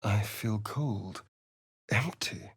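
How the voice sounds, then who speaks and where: An actor playing a suave, sardonic, preening vampire rogue in a video game, with an arch, theatrical drawl and dramatic pauses. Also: male voice, fairly low pitch, fairly bright tone, husky, no room sound, studio quality, full character voice. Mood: dramatic, haunted. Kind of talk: video game character voice acting.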